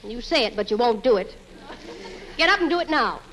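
An American actress playing a nagging, scatterbrained wife in an old-time radio comedy, insistent and easily wounded. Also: female voice, fairly high pitch, lively intonation, fairly quick, a bit bright. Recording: noisy vintage radio recording.

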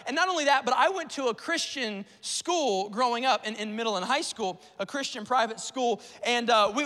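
A man in his late twenties, a pastor preaching a sermon from the pulpit in a church, energetic and playful with call-and-response to the congregation. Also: very high-pitched, in a large hall. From South Carolina. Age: 20s. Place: church sanctuary.

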